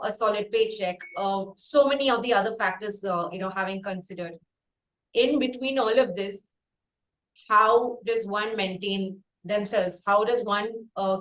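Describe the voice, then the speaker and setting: Interview host: woman, Indian accent, average pitch, conversational, feels her way through lengthy questions. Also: low-quality recording. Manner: halting, long-winded questioning.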